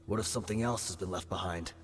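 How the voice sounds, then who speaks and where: An actor playing a loyal, steady mercenary man in a video game, direct and firm. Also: sits low, fast, in a large hall, natural voice. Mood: resolute.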